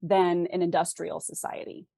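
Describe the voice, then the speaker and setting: A woman's voice: on the low side, little pitch movement, moderate pace, vocal fry, mellow, dry recording, studio quality. An American woman from the West Coast explains her craft conversationally as an interview guest, thoughtfully posing questions as she goes. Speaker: interview guest, fiction author.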